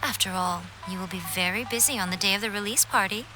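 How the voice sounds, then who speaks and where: A woman voicing a poised, teasing noble lady, unhurried and playfully condescending, in a video game. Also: mid-range voice, lightly acted, poor audio. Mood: composed authority. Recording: music behind the voice.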